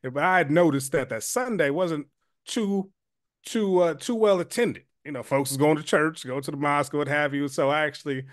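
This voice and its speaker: A man's voice: fairly high pitch, moderate pace, no room sound, studio quality. A man in his forties, a community leader, answering as an interview guest in an earnest, reflective way with many fillers and restarts. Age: 40s.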